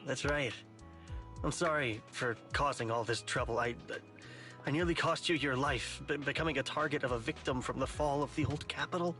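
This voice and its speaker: A man's voice: mid-range voice, moderate pace, pushed and bright, faint music behind, close to an everyday voice. A man playing an apologetic, guilt-ridden civilian in a game, speaking earnestly and with hesitation. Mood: remorseful. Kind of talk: dramatic game voice acting.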